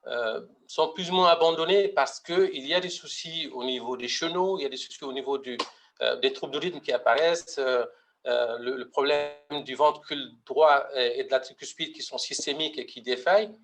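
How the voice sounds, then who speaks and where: A man's voice: medium pitch, normal speed, a bit bright. A middle-aged man from Mauritania giving a medical webinar lecture, hesitant, with many fillers and restarts. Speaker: pediatric heart surgeon presenting.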